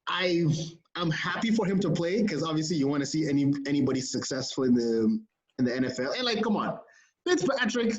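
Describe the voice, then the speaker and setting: A man co-hosting a casual sports podcast, animated and laughing often, with plenty of fillers. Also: fairly high pitch, fairly quick, muffled recording. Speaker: podcast co-host.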